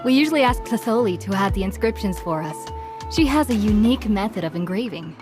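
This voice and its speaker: An actress voicing a kindly, scatterbrained young village woman in a game dub, chatting warmly and losing her train of thought, over soft game music. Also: medium pitch, expressive, fairly quick, close to an everyday voice. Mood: reassuring. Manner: warm, chatty and forgetful. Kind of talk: dubbed game dialogue.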